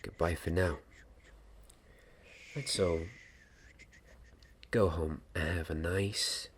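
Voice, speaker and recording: Casting: young man as kindly fantasy wand maker and mentor, low soft breathy voice, unhurried hesitant delivery, ASMR roleplay. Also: steady tone. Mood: calm, kindly. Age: young adult. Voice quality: soft, breathy, partly whispered. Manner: slow, hesitant, deliberate. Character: gentle, patient male wand maker mentoring an apprentice. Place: small dim indoor room. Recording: clear background noise and ambience.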